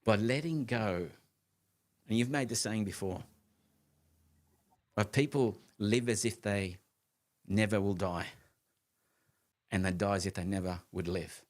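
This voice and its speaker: A man in his late fifties co-hosting a casual conversation, talking in an animated, emphatic way with frequent restarts and the odd casual swear word. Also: lowish voice, measured pace, pauses often. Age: 50s. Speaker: co-host of a live conversation show.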